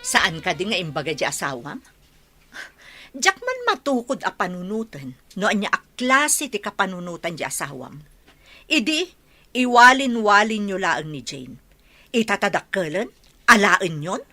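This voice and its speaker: Scolding village aunt, Ilocano accent, bright forceful voice, sweeping animated intonation, challenging radio-drama delivery. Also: female voice, mid-range voice, normal speed. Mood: angry, scolding. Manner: scolding, challenging with rhetorical questions. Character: indignant, scolding village woman who raised her brother's child.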